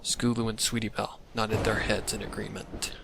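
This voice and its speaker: A man reading scripted narration for a dark fan fiction story. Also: fairly low pitch, little pitch movement, fairly quick, creaky, noisy background. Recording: loud music under the narration. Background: loud music.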